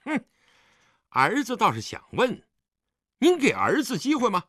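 A man's voice: medium pitch, wildly swooping pitch, a little slow, pushed and bright, no room sound, studio quality, heavily acted. A man acting a drunk, defiant grown son who taunts his father insolently in an audiobook drama. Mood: bitter, sarcastic. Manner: insolent, taunting, slightly stumbling speech.

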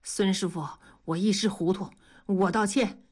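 A woman voicing a rough working-class husband who talks gruffly. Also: medium pitch, steady tone, a little slow, darkish tone, lightly acted.

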